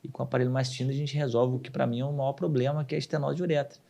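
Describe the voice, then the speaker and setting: A man's voice: medium pitch, rapid-fire, gravelly. A man, a surgeon guest telling stories on a podcast and acting out quoted dialogue. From southern Brazil. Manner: animated storyteller, acts out quoted dialogue. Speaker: urologist guest. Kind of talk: casual podcast interview.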